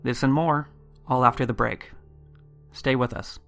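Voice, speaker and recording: Male podcast host, creaky mid-range voice, measured scripted narration with suspense, faint music bed. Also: mid-range voice, steady tone, fairly bright tone.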